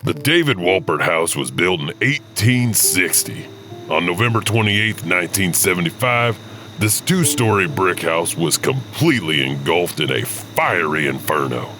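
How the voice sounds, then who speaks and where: Casting: male host for urban legend narration, very low register, theatrical and spooky, clear background hum on the recording. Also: lively intonation, a little slow. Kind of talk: scripted spooky storytelling narration.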